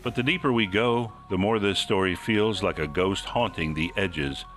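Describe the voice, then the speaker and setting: A man's voice: deep voice, moderate pace, music in the background. A man hosting a podcast, reading scripted mystery narration in a suspenseful, theatrical manner. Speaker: podcast host.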